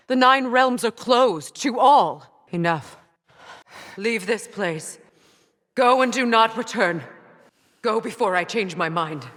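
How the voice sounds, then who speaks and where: An actress playing a proud, wounded, vengeful Norse goddess queen in a video game, speaking with imperious, deliberate intensity. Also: a little high, a bit bright, exaggerated voice. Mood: stern, commanding. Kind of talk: dramatic video game acting.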